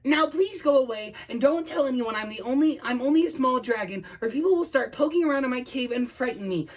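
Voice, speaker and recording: A woman's voice: sits high, sing-song, slow pace, pushed and bright, breathy edge, pitched above the performer's own voice, exaggerated voice. A woman voicing a small, timid male storybook dragon who is anxious and easily frightened. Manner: quaking, anxious.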